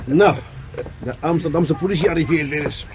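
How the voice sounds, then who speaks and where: An actor playing a grumbling police adjutant in a Dutch radio drama, halting and deferential to his superior. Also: fairly high pitch, background noise, close to an everyday voice. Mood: disgruntled.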